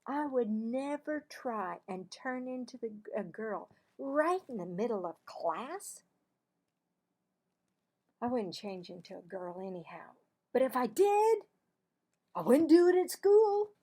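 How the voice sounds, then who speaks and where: A woman's voice: a little high, sing-song, slow pace, dark tone, voice pushed up, heavily acted. Woman reading as a defensive, indignant young schoolboy. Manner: protesting, emphatic.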